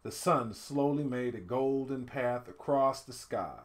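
A middle-aged man reading a picture book aloud in an animated, dramatic storytelling style, pausing to speak to listeners. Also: mid-range voice. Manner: expressive, dramatic swells and hushed asides to listeners. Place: indoor home studio room.

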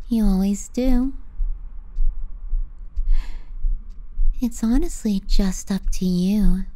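A woman playing a possessive, menacing younger sister in an intimate ASMR roleplay, soft and breathy, often near a whisper. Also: medium pitch, poor audio. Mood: quietly menacing. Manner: slow, tender yet threatening. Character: possessive, menacing little sister murmuring to sleeping brother.

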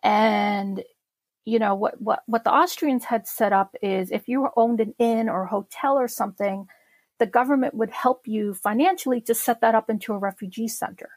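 A woman's voice: fairly high pitch, moderate pace, dry recording. A middle-aged woman from Czechoslovakia, a podcast guest recalling her childhood in a reflective way, with many fillers and restarts. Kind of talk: personal memoir interview.

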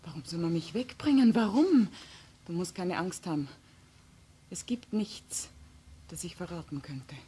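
A woman's voice: lowish voice, animated, measured pace, pauses often, dark tone, breathy edge, heavily acted. An actress playing a possessive, unyielding mistress in a crime drama, intense and insistent as she shields her lover.

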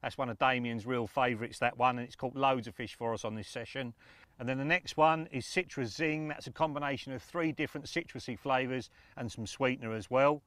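A man's voice: medium pitch, brisk, fairly bright tone, no echo. A middle-aged man presents informal fishing tips outdoors in a matter-of-fact, explanatory way. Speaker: angling presenter. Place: outdoors on a lakeside bank.

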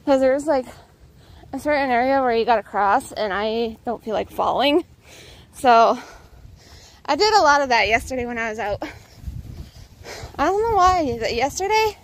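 A woman's voice: high-pitched, lively intonation, moderate pace, long pauses. A young woman chatting casually while walking outdoors, filming herself on a phone at arm's length, a little out of breath. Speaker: vlogger. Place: outdoors on a suburban path. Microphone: phone held at arm's length.

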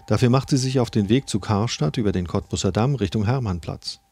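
A man's voice: fairly low pitch, a little fast, darkish tone, breathy edge, no echo. A man reading scripted documentary narration about a crime case. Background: music.

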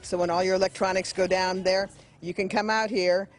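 A woman's voice: mid-range voice, little pitch movement, brisk, soft background music. Middle-aged woman explaining museum exhibits. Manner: friendly, explanatory. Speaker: museum guide. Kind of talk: interview answers. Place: large indoor museum hall. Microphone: reporter's handheld mic.

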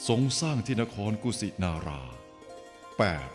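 A man giving scripted, formal narration for an animated film, telling the story in an expressive way. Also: mid-range voice, measured pace, big-room sound, over music.

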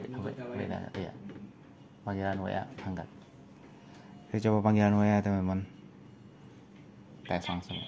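Low-voiced man, noisy recording. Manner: informal, halting, thinking aloud. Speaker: product reviewer. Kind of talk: casual unboxing and review chat. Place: indoor room with tiled desk. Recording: clearly noisy room recording.

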